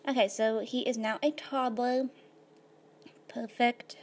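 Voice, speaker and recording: A woman with a slightly hoarse voice, casually narrating her life-simulation gameplay at a computer, rambling with lots of fillers. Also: on the high side, steady tone, takes it slowly, darkish tone. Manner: rambling, filler-heavy, laid-back. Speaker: gaming video host.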